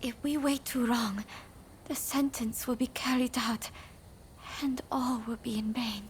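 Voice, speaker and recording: An actress plays a devoted, pleading woman of samurai times in a video game. Her voice sits high and she speaks slowly, with polite formality. A faint ambience lies behind her. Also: fairly even intonation, natural voice. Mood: anxious pleading. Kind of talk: video game cutscene acting. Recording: faint background noise and ambience.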